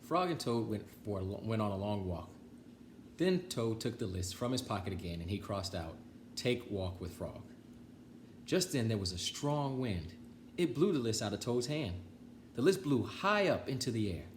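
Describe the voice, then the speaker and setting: A man reads aloud for children in a fairly low voice. His intonation is lively and varied, with deliberate pauses between phrases. Faint noise runs behind him. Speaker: children's storytime reader. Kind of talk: read-aloud storytelling for children.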